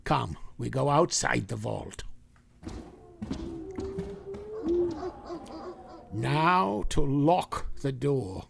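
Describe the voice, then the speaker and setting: An actor playing a grave older professor who hunts vampires in a radio drama, lecturing with hesitant deliberation in a gravelly, husky voice. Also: male voice, mid-range voice, noisy background. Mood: solemn, methodical. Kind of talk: vintage radio drama acting.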